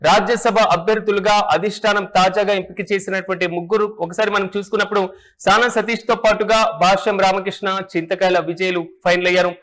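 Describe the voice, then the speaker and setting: A man's voice: high voice, flat delivery, quick pace, fairly bright tone. A man presenting news and political analysis in a formal, repetitive manner.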